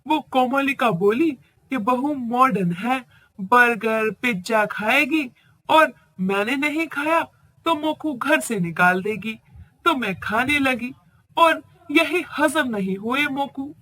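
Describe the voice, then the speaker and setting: Casting: a woman as an older village mother-in-law, rustic speech, slow and bright. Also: high-pitched, expressive, exaggerated voice. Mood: apologetic. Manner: rustic dialect, pleading.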